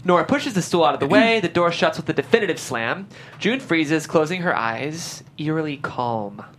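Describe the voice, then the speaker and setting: Low-voiced woman reading scene directions, lively inflection. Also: normal speed, slight background noise. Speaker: stage-direction narrator. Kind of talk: scripted narration at a table read.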